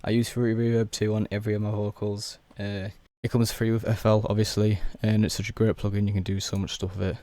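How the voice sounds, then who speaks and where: A young man whose voice is hoarse and nearly gone, talking in a low, mellow register. He keeps his delivery flat and unhurried, stepping through a production tutorial with easy filler words. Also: dry recording. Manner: laid-back, explains step by step with many fillers. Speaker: music producer. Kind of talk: casual software tutorial.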